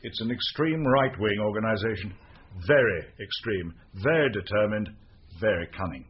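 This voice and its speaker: Actor as pompous British intelligence officer, muffled. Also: male voice, lowish voice, animated, normal speed, lightly acted. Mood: grave, pompous. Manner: formal, pompous, clipped. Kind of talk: British radio detective drama acting. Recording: muffled radio recording with hiss.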